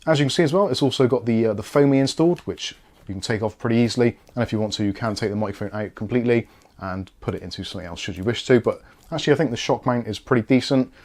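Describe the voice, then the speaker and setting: A man's voice: mid-range voice, very fast, darkish tone. A British man giving a casual product review and unboxing, explaining things in a chatty, easygoing way.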